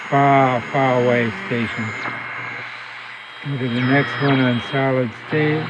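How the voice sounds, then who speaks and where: A man's voice: medium pitch, level tone, takes it slowly. A man giving a casual, off-the-cuff demonstration at an indoor workbench as a radio repair hobbyist. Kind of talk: casual workbench demo narration. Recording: noisy, loud radio static hum. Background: radio static and interference noise.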